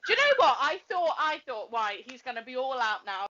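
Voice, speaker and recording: Bright-voiced woman, muffled audio. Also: high voice, sing-song, brisk. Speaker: interviewer, host. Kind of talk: casual chat.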